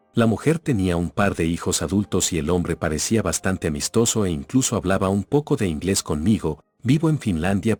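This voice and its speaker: A man narrating scripted horror stories in a measured, ominous storytelling manner. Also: sits low, darkish tone, husky, no room sound. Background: background music.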